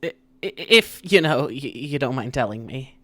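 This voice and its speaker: An actor playing a nervous, gentle young male student in an audio drama, stammering timidly and hesitantly. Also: mid-range voice, lively intonation, normal speed, no room sound, studio quality. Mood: nervous, careful. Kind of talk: audio drama acting of fan fiction.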